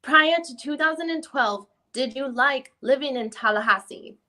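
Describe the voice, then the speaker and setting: A woman reading the part of a lawyer who questions a witness in a formal deposition, with halting, probing questions. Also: high-pitched, sharp tone. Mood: questioning. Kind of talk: dramatic reading of a deposition transcript.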